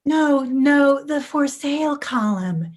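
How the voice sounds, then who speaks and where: A woman's voice: high voice, lively intonation, slow pace. A woman playing a fussy, gossipy, disapproving suburban housewife in a staged play reading over a video call. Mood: fussy, annoyed.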